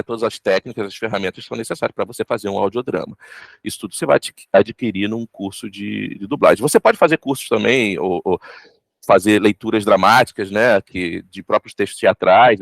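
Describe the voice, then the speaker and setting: A man's voice: on the low side, creaky, dry recording. A man with a Brazilian Portuguese accent giving casual interview advice, explaining things with emphatic repetition. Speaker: voice actor giving career advice.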